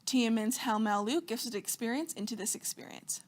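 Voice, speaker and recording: A young woman reads student news from a script. Her voice sits a touch high, and her delivery is smooth and upbeat. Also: a little fast. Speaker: news anchor.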